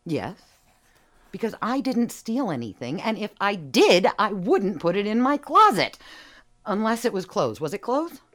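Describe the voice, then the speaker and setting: An actress playing a cheeky, evasive burglary suspect in an audio drama, theatrical and teasing, swinging from meek to emphatic. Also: female voice, medium pitch, sing-song, a little slow, fairly bright tone. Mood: defensive, indignant. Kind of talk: audio drama dialogue acting.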